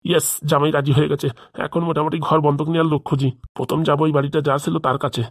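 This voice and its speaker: A man's voice: on the high side, flat delivery, racing, no room sound, clean studio sound. A man voicing a pushy, scheming father-in-law in a comic cartoon, badgering with questions. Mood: gleeful. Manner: badgering, nagging with rhetorical questions. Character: pushy, scheming father-in-law pressing his son-in-law for money.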